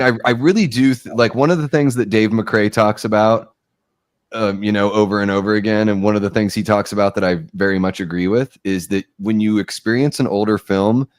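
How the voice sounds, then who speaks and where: Low-voiced man in his forties chatting. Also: brisk, dry recording, clean studio sound. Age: 40s. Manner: talkative, rambling, laughs often. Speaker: host. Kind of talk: casual movie watch-along chat.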